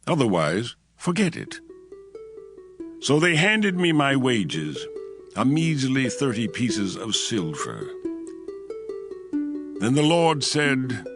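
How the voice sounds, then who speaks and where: Man playing an impassioned prophet, widely swinging pitch. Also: very animated, takes it slowly, long pauses, a bit bright, soft background music, full character voice. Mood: resolute, indignant. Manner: dramatic, declamatory, emphatic. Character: impassioned male prophet narrating his visions. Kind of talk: dramatised scripture narration.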